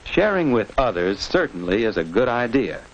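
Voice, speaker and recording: A man's voice: on the low side, sing-song, moderate pace. A man reading scripted narration for a children's instructional film in a didactic way, posing questions and answering them. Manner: didactic, asking and answering his own questions. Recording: noisy old educational film soundtrack.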